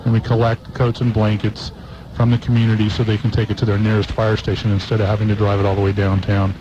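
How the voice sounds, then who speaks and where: Male American fire captain, lower voice, halting and matter-of-fact, noisy outdoor interview. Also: monotone, dull audio. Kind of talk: plain interview answers.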